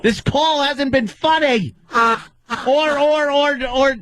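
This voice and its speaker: A man's voice: very high voice, slow pace, a bit bright. A man hosting a radio talk show, mocking a caller with sarcastic jabs.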